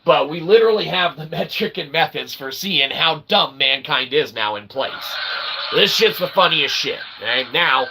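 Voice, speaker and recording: A man hosting his own channel, rambling in a monologue that swings from mutters to sudden loud outbursts and keeps asking "okay?". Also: a little high, animated, normal speed, creaky, noisy background. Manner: peppers talk with "okay?" and "literally". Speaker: self-published author and channel host.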